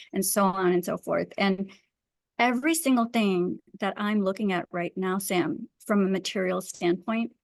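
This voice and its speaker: A woman's voice: medium pitch, dry recording. A woman speaking as a guest expert in an interview, explaining her ideas conversationally in an earnest, emphatic way. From Pakistan. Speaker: guest wellness and design expert.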